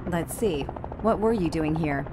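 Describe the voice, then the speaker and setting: An actress playing a tough, curt mercenary agent in a video game, clipped and guarded. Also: female voice, low-pitched, measured pace, background noise. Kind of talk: video game cutscene acting. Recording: loud music under the voice.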